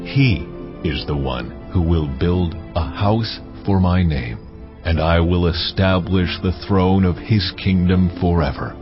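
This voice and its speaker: An actor playing God as a solemn, authoritative Lord giving measured decrees in dramatized scripture, over music. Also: male voice, sits low. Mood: solemn, commanding. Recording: muffled, band-limited audio.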